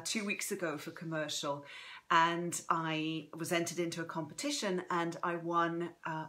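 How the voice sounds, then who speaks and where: A woman in her forties hosts a casual livestream from home, talking into a propped-up phone. Her voice sits a little low but has a bright, forward edge, with a slight throaty strain. She chats effusively and greets her viewers one by one. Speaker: author hosting a live book reading. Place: home room, indoors. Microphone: phone propped in front of her.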